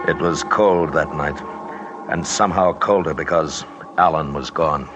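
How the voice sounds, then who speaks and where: An actor playing a stubborn, haunted mountaineer in an old radio drama, speaking gravely and deliberately in clipped phrases. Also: male voice, rumbling low, over music. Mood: somber, brooding. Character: stubborn, haunted mountaineer narrating his expedition, tough man. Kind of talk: 1940s-50s radio drama narration. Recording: noisy old radio recording.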